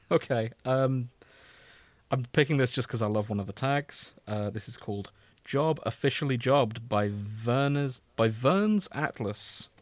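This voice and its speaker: A man co-hosting a casual podcast, speaking at a middling pitch. He sounds jokey and playful, as if bantering with friends. The sound is close and dry. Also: lively intonation, unhurried, no room sound. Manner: jokey, often laughing mid-sentence. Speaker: podcast co-host. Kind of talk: casual chat with playful reading aloud of fan fiction.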